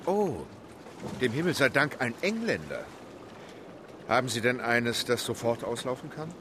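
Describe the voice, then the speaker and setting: A composed, decisive English gentleman in a radio play. He speaks calmly and formally in short, clipped sentences, over a clear bed of background noise. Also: medium pitch, a little slow.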